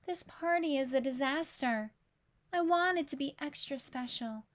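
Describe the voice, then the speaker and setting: A woman's voice: high-pitched, moderate pace, lightly acted. A woman voicing a flustered, caring schoolteacher in a storybook, fretful and earnest. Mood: dismayed.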